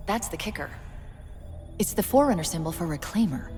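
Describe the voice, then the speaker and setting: An actress playing a quick-witted female AI who explains things briskly and wryly, over a game score. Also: fairly low pitch, animated, big-room sound, natural voice. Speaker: game character. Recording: game audio with loud music behind.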